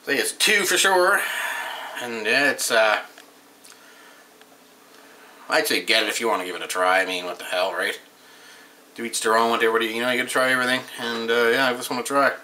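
A man's voice: medium pitch, a little fast, pauses often, sharp tone, poor audio. A man in his thirties giving a casual, rambling home beer review, seated at a table and recorded on the camera's mic. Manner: rambling, offhand, reads label aloud. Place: small room at home, indoors.

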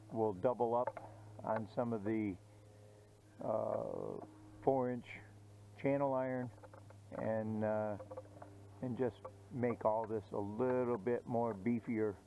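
A middle-aged man casually explaining a welding project outdoors, slow and halting with many "uh" pauses. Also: fairly low pitch, dull audio, a little hiss or hum. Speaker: DIY channel host. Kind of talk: casual how-to narration. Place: outdoors on a grassy yard.

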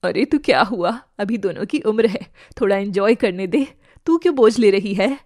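A woman voicing a stern, bossy older mother-in-law in an animated family drama, scolding and sharp. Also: medium pitch, normal speed, slight background noise, voice pushed down, lightly acted. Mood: soothing.

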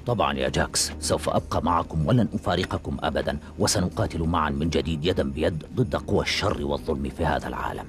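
A grave former traitor in an action cartoon, with a very deep voice. He speaks quickly and earnestly, a solemn warrior seeking acceptance, while music plays loudly behind him. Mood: grave, resolute. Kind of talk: dubbed cartoon acting.

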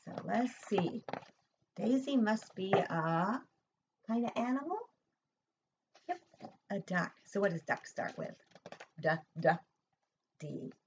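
Slow, expressive older woman reading to children. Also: mid-range voice, lo-fi sound, background noise. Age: older, 60s or above. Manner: expressive, deliberate, asks simple questions. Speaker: preschool teacher. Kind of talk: picture-book story time for young children. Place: room at home, indoors.

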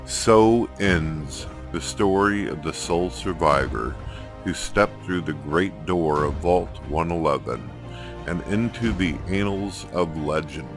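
Deep, slow, solemn male narrator. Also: over music. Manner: grave, dramatic, measured. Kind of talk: solemn scripted story narration.

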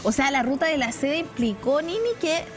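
A woman in her thirties with a Chilean accent, hosting a live studio talk show in an animated way. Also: sits high, fairly quick, over music. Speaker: TV host.

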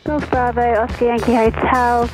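Woman, air traffic controller, tinny radio, flat clipped delivery, higher voice. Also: normal speed, over music. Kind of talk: radio phraseology.

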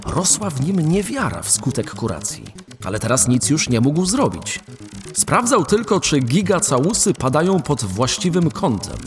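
Guy narrating a sci-fi radio play, super animated delivery, faint music behind. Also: a little high, normal speed. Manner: expressive, animated reading.

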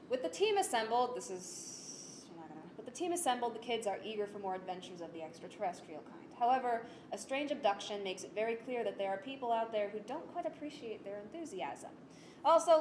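A young woman giving an informal presentation in an animated, self-deprecating way. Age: young adult. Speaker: student presenter, audio drama writer. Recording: echoey room with clear rumbling noise.

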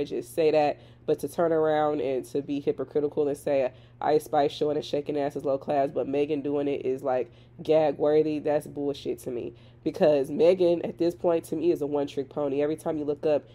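A woman's voice: low-pitched, mellow. A woman with a Southern US accent, congested from a cold, giving chatty and opinionated commentary on celebrity gossip. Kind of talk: casual commentary vlog. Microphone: voice-over on still images.

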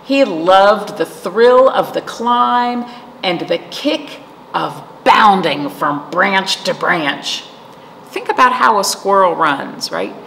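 Animated middle-aged woman reading, slow and expressive. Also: mid-range voice, gravelly. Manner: animated, acting out the story with asides to listeners. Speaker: children's librarian and storytime host. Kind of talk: expressive read-aloud storytelling for young children. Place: indoor library storytime room. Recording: roomy reverb with faint room noise.

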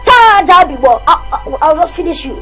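A performer playing a cheeky young man with a pitched-up voice who bickers, boasts and pleads. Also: animated, slow, loud music behind the voice, exaggerated voice. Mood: defiant. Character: cheeky young man chasing his brother's girlfriend.

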